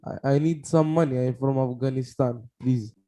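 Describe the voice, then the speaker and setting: A man's voice: medium pitch, fairly even intonation, normal speed, dark tone. Pleading man barging into a call. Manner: pleading, broken phrasing. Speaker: intruder on the call. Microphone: video call.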